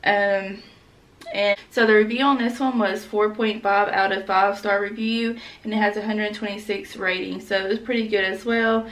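Young woman vlogger, casual and blunt, mid-pitch, recorded slightly back from the mic at home. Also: fairly even intonation, normal speed, fairly bright tone, far from the mic, low-quality recording. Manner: chatty, frank, peppered with "like" and slang. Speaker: fashion vlogger. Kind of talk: casual try-on haul chat. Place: small room indoors at home.

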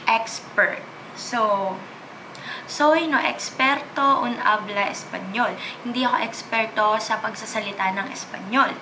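A woman's voice: medium pitch, noisy background. A woman in her twenties with a Filipino accent, chatting casually to camera from her bedroom and mixing languages. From Philippines. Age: 20s. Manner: switches freely between English, Tagalog and Spanish, chatty. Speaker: vlogger. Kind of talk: casual chat. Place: small bedroom, indoors. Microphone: camera in front, seated close.

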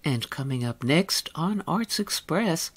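Woman, radio host, medium-low voice for presenting, scripted announcements. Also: expressive, slow pace, slightly rough.

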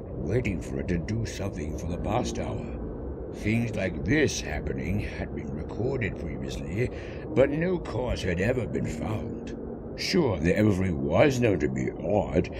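Male story narrator: deep, expressive range, dramatic scripted read, very noisy background. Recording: loud ambient noise behind voice.

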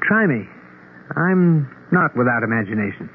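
An actor playing a sensible, skeptical friend in a radio drama, asking brisk, polite, probing questions. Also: male voice, medium pitch, sing-song. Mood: curious. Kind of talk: 1970s radio drama acting. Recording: tinny, noisy old radio broadcast.

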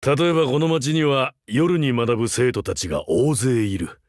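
A male actor playing a rugged older vampire hunter turned teacher in a game, wry, laconic and gruffly fatherly. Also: mid-range voice, normal speed, darkish tone, no room sound, studio quality, lightly acted. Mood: gently weary. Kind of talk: Japanese mobile game character voice lines.

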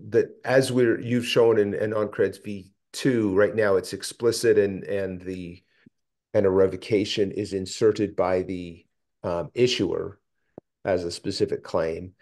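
A man with a fairly low voice talks through technical material on a group call. He presents step by step, pausing and rephrasing as he thinks aloud. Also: darkish tone. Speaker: meeting presenter. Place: indoor room, video call.

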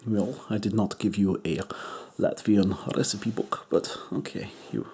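A man voicing an eccentric, crude foreign male doctor in a deadpan whisper. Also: on the low side, breathy edge, full character voice. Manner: whispery, slow, deadpan joking. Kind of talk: comedic ASMR roleplay.

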